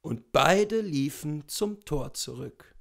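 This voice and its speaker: A man in his thirties reading classic fiction aloud in a deliberate, theatrical storytelling style. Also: fairly high pitch, lively intonation, gravelly. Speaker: literary reader. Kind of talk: expressive audiobook narration of classic fiction. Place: small indoor room with bookshelves.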